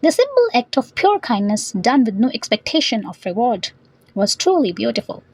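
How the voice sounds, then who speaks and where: A woman's voice: a little high, very animated, normal speed, no echo. A woman reading a scripted educational story as narrator and vocabulary teacher.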